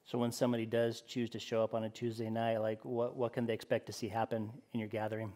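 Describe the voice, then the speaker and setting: A man's voice: fairly low pitch, monotone, fast talker, dark tone, in a large hall. A middle-aged man hosting casual church announcements and interviews in an informal, conversational way. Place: indoors, church stage.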